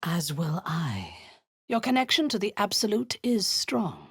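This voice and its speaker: An actress voicing a ruthless drow woman commander who leads zealous raids, speaking in a cold, commanding voice with a husky edge that often sinks into a whisper. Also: mid-range voice, huge pitch swings, measured pace, no room sound, clean studio sound, heavily acted. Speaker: game character. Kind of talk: video game voice lines.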